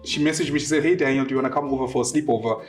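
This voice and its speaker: A man's voice: medium pitch, fairly even intonation, racing, soft background music. A man in his twenties, from Zimbabwe and South Africa, telling his story in a playful, upbeat way. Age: 20s. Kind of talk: casual interview storytelling.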